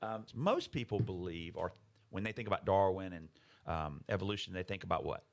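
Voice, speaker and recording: A man's voice: low voice, expressive, fairly quick. A man co-hosting a podcast, explaining ideas in casual conversation, emphatic and fond of rhetorical questions. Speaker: podcast co-host.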